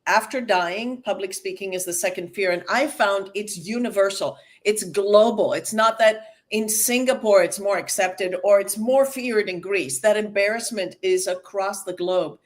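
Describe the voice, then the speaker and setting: A woman's voice: mid-range voice, moderate pace, hardly any pauses. A woman hosting an interview as a communication coach, emphatic and enthusiastic.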